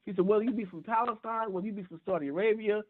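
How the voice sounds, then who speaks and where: A man speaks as a panelist on a call-in talk show, heard through a narrow, tinny phone connection. He is impassioned and emphatic, often doubling back and repeating words as he builds his point. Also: high-pitched. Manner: repetitive, halting restarts, emphatic. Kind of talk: impassioned discussion. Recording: tinny phone conference line.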